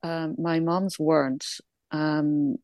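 Hesitant, soft-paced English-born woman. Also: low-pitched, level tone, takes it slowly, no echo, dull audio. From England. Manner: hesitant, many ums and restarts, laughs often. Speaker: guest. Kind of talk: reflective interview conversation. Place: Ireland.

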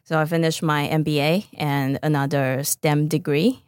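A woman's voice: low-pitched, steady tone, normal speed, smooth, no room sound, studio quality. A woman from Taiwan with a Taiwanese accent, answering interview questions conversationally as a startup founder. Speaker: startup founder, podcast guest.

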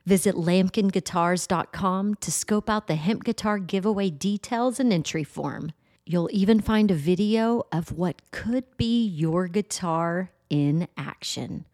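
A woman's voice: fairly low pitch, slow pace, a little mellow, no room sound, clean studio sound. A woman announcer reading a scripted promotional spot. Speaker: advertisement announcer.

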